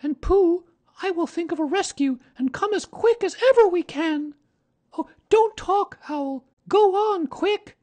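A man voicing an eager, affectionate young boy in a storybook reading, with a high, childlike voice. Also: measured pace, darkish tone. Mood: urgent, bossy.

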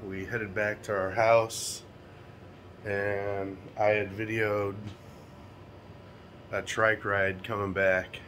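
Hoarse American man with a cold. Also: on the low side, flat delivery, takes it slowly, long pauses, fairly bright tone, slight background noise. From United States. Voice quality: raspy. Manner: loose, rambling, chuckles often. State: hoarse from a cold, stuffed nose. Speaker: vlogger. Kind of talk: casual vlog talk. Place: small room indoors. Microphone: phone camera held close.

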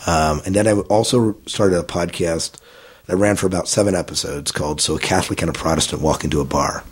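A man in his thirties with a low, husky voice talks through his story as a podcast guest. He rambles easily, circling back with fillers and restarts. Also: fairly even intonation, fairly quick. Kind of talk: casual interview answers.

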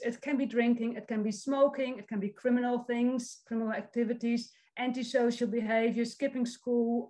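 Woman, Dutch accent, forties, social work lecturer, encouraging, higher voice. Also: a little mellow. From Netherlands. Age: 40s. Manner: friendly, encouraging, reads out audience comments. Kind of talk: online presentation to a youth summit.

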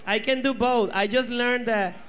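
A man's voice: very high-pitched, steady tone, moderate pace, a bit bright. A man with a Puerto Rican accent, a drag queen answering fan questions at a bar event in a sassy, playful way, slipping into Spanish. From Puerto Rico. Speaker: drag queen panel guest. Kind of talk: casual Q&A banter. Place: bar stage, indoors. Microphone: handheld mic.

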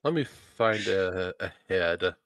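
A man's voice: low-pitched, expressive, slow, a bit bright, clear, resonant tone, no echo, clean studio sound. A man chatting casually as a guest on a live stream, tossing in quips. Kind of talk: casual chat.

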